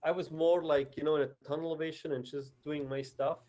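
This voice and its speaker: A man speaking English with a Swiss German accent, his voice sitting a little high. He talks in a casual, hesitant way, often restarting sentences and leaning on fillers as he chats with a co-host. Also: level tone, brisk, mellow. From Switzerland. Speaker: podcast co-host. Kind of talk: casual chat.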